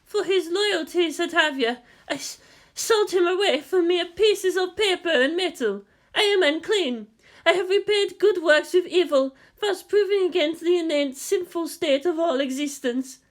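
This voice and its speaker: Storybook role: anxious, guilt-laden nun, very high and mournful, halting and formal , performed by a man. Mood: mournful, contrite. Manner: slow, formal, apologetic, stammering. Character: timid, mournful, guilt-ridden Buddhist nun.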